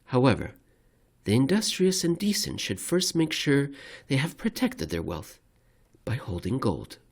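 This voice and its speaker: Deliberate male narrator, mid-pitched. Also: big pitch swings, vocal fry. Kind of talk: scripted reading of economic commentary.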